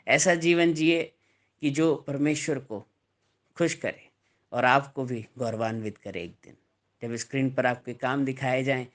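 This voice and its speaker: A man preaching a sermon, deliberate and emphatic, repeating and explaining phrases as he reads scripture. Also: mid-range voice, steady tone.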